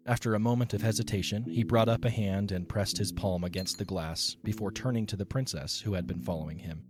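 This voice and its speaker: Man narrating a story, low voice. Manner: even, descriptive reading. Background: music.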